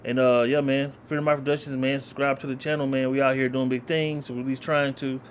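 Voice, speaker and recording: A young man talks loosely into his webcam from a small room, rambling as he tries to recall names. His voice sits in a middle pitch, and a faint hum of ambience stays under it. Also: brisk. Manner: relaxed, rambling, uses slang. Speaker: trailer reaction host. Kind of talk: casual chat.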